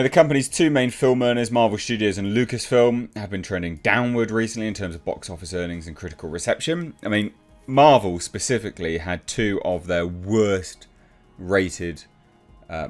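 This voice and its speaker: Deep-voiced British man, sarcastic commentary. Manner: sarcastic, blunt, informal. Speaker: YouTube commentator. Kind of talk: casual opinionated news commentary.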